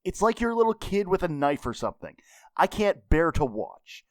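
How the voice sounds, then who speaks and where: A man plays a cocky, blunt anime mentor. The voice is fairly high and very animated, lecturing with open mockery in a dry recording. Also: normal speed, no room sound. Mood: scornful. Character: cocky, scolding adult anime mentor, a self-declared commoner.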